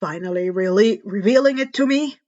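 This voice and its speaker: A woman voicing a gruff, stern male hero mentor in a read-aloud story, in a muffled recording. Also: mid-range voice, moderate pace, darkish tone, voice pushed down, close to an everyday voice. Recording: dull, muffled lo-fi home recording.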